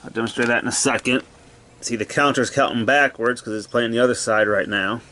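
A man's voice: mid-range voice, fairly even intonation, brisk, sharp tone. A man giving a casual hands-on equipment walkthrough in short, clipped, matter-of-fact phrases, recorded indoors on a handheld camera. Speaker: gadget demonstrator. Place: indoors, cluttered home room.

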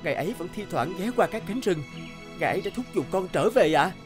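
A man's voice: high-pitched, animated, fast. A man playing a brave, kind-hearted young prince in a cartoon, speaking earnestly and politely. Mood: respectful. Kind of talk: cartoon voice acting. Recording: music under the voice.

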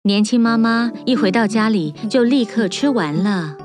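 A woman's voice: on the high side, animated, normal speed. A woman reading scripted fairy-tale narration for children as a storyteller. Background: music.

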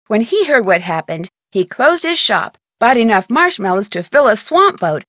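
An actress playing a composed, wry young woman detective, narrating a game's story in a clear, measured way. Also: fairly high pitch, a bit bright, no room sound, studio quality. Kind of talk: scripted game narration.

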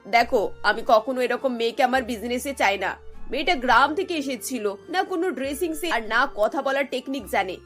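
A woman's voice: sits high, animated, a little fast, a bit bright, soft background music. A woman voicing a snobbish, bossy middle-aged mother-in-law in a cartoon, scornful and dropping English words into her talk. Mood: dismissive. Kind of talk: Bengali cartoon voice acting.

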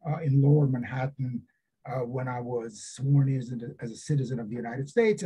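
A man's voice: a little high, mellow, breathy edge. Older man on a dull video call. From Romania. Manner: hesitant, many fillers. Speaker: seminar speaker, author. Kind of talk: academic talk. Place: home study with bookshelves.

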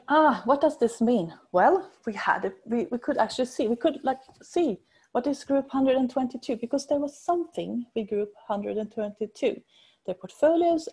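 A middle-aged woman with a Swedish accent giving a research presentation over video call, enthusiastic and halting as she searches for words. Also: mid-range voice, warm tone, husky. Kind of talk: conference presentation. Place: indoors at home or office, on video call.

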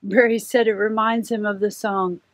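A woman's voice: mid-range voice, level tone, normal speed, no room sound. A middle-aged woman hosting a children's story time, reading aloud expressively and putting simple questions to her young listeners.

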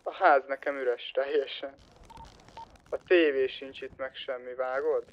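Male gamer, average pitch, informal explainer in casual roleplay chat, clear background noise with engine-like ambience. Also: steady tone, highs cut off. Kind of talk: casual chat during online roleplay gameplay.

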